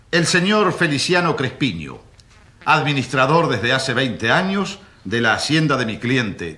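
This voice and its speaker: A pompous sneering prosecutor, super animated pitch, courtroom radio drama. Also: male voice. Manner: pompous, emphatic, needling.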